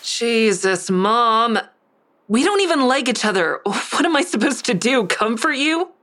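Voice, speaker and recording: A woman's voice: on the high side, big pitch swings, measured pace, fairly bright tone, dry recording. A woman playing a guarded, bitter adult daughter in an audio drama, terse and confrontational. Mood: tense, confrontational. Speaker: lead actor narrating and playing a daughter. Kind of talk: audio drama acting with first-person narration.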